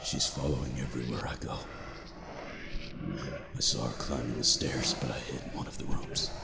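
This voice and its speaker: An actor playing a weary, terrified explorer losing his mind, recording a halting log in an audio drama. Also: a bass voice, steady tone, creaky. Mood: haunted, unhinged. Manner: halting, haunted, breaks into whispers. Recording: noisy, echoing cavernous reverb.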